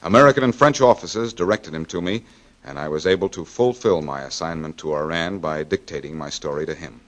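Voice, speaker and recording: A man playing a war correspondent who gravely recounts a battle in dramatic first-person radio narration. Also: sits low, brisk, a bit bright. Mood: grave, tense.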